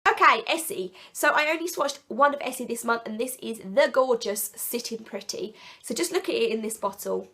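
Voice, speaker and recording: A woman with a sick, croaky and blocked-up voice, chatting enthusiastically and rambling a little as she reviews products in a casual vlog. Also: fairly high pitch, normal speed, hardly any pauses, pushed and bright. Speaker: nail polish vlogger.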